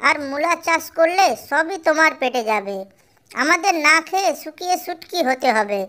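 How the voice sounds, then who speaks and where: A woman's voice: sits high, animated, normal speed, pushed and bright. A woman voicing a firm, sentimental widowed farming mother in a cartoon story, plain-spoken and instructing. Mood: scolding. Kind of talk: Bengali cartoon story acting.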